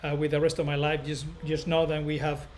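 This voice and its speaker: A man from northern Spain with a Spanish accent, hosting a casual live stream as a barber educator and chatting to viewers in a rambling, halting way, often repeating himself. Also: a little high, completely flat delivery, darkish tone, slight background noise.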